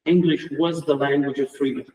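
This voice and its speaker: A middle-aged man from Romania with a Romanian accent, a native Romanian speaker giving a reflective memorial tribute as an English department lecturer, measured and halting with restarts. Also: fairly high pitch, fairly even intonation, a little mellow, recorded from a distance, poor audio. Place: lecture room at a memorial round table.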